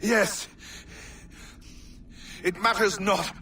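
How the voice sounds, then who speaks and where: An actor playing a dying, scheming villain in a video game cutscene, coughing, weak and short of breath. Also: male voice, high-pitched, steady tone, drawn-out words, sharp tone, recorded from a distance, heavily acted. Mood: anguished disbelief. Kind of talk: dramatic game cutscene acting.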